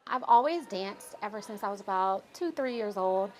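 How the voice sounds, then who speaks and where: A woman's voice: mid-range voice, animated, normal speed, darkish tone, a little hiss or hum. A woman from Maryland who is a cheerleader and graphic designer, talking in a bubbly, playful way in an interview. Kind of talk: interview testimony.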